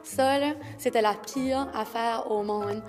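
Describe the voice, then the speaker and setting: A woman from the Acadian Peninsula with an Acadian French accent, speaking earnestly and deliberately in a documentary interview. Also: fairly high pitch, fairly even intonation. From Acadian Peninsula, New Brunswick. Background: background music.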